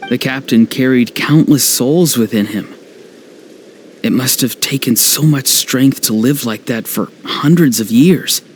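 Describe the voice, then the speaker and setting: An actor playing a thoughtful, compassionate and solemn young warrior in a video game. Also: male voice, medium pitch, slight background noise, lightly acted.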